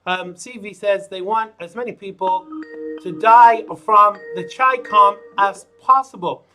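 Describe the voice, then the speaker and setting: A man's voice: a little slow, sharp tone, faint music behind. A man hosting a live call-in show, chatting through news items with jokey asides. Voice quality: says his voice is low. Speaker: show host.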